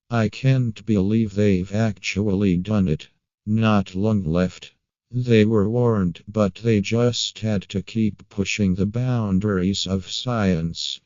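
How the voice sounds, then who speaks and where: Male narrator for scripted creepy fiction: unhurried, deliberate delivery, lowish pitch, dry and slightly muffled recording. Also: dark tone, no room sound. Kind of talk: scripted horror story narration.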